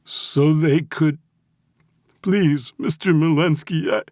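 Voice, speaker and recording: A male narrator plays a terrified captive businessman in an audiobook thriller. The voice is pushed up high into a tearful whine, slow and halting, pleading for mercy. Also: expressive, vocal fry, darkish tone, no room sound, clean studio sound, heavily acted. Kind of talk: audiobook character acting.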